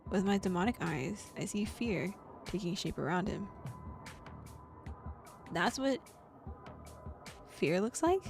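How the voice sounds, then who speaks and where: Female streamer, gravelly timbre, reads game text aloud with lively reactions; faint music bed. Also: mid-range voice, slow, long pauses. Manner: animated reactions, gasps and laughs between read passages. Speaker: streamer reading a visual novel aloud. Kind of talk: game playthrough, reading text plus reactions.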